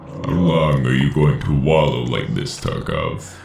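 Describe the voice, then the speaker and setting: An extremely deep, gravelly male voice of an ancient machine intelligence. It speaks in cold, formal commands with dramatic swings in pitch, in a science-fiction audio drama. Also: very animated, a little mellow, heavily acted. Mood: cold, commanding. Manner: formal, measured, imperious. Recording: echoing voice with clear background noise.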